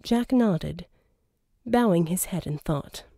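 A woman narrates an audiobook in a slightly low register. Her reading of the fiction is slow and measured. The recording is dry, with no room sound. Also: animated, mellow, dry recording.

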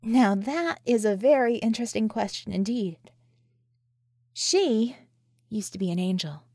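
A woman playing a sardonic, sharp-tongued, weary woman with a wry, emphatic manner in an audiobook. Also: fairly high pitch, a little slow, dry recording, clean studio sound. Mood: earnest, explaining.

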